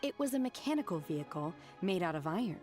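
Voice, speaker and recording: Casting: a cool, blunt young disciple, lowish even voice, soft game music beneath. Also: female voice, fast talker. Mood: calm blunt. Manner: calm, blunt and literal. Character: calm, blunt young woman disciple, flatly literal. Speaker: disciple and restaurant worker. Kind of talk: video game voice acting. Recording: game audio with music behind.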